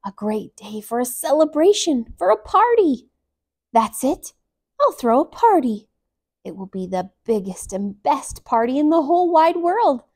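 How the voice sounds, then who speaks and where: A gushing, boastful lady elephant from a storybook, voiced by a woman reading aloud. She piles up superlatives in a high, loud, bouncy voice. Everything comes out as an exclamation. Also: measured pace. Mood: exuberant. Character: boastful, excitable female elephant.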